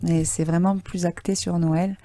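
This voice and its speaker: A woman's voice: on the low side, level tone, moderate pace, warm tone, dry recording. A woman choreographer from Provence, an enthusiastic radio interview guest who talks with animation and laughs often. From Provence, France. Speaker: choreographer and dance company director.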